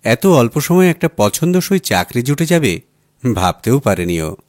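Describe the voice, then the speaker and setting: A man's voice: mid-range voice, big pitch swings, moderate pace, darkish tone, no room sound, clean studio sound. A man reading a literary story aloud as a dramatised audiobook narration. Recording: music playing behind the voice. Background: music bed.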